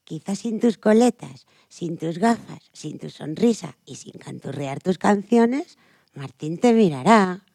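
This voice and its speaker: Meddling, coaxing adviser for children's radio drama played by a woman, mid-pitched, raspy and mellow, close dry recording. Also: lively intonation, measured pace, dry recording, full character voice. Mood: coaxing, critical. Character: meddling acquaintance giving a girl advice on her looks. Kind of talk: radio play of a children's picture book.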